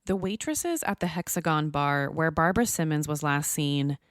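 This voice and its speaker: A woman's voice: low-pitched, expressive, moderate pace, no room sound, clean studio sound. A woman hosting a podcast, reading scripted true-crime narration. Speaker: podcast host.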